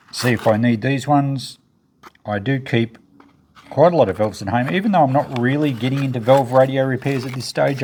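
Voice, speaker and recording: A man's voice: mid-range voice, a little mellow. Matter-of-fact man over noticeable background noise. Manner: matter-of-fact, thinking aloud. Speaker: video host, e-waste recycler and reseller. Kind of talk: casual unboxing narration.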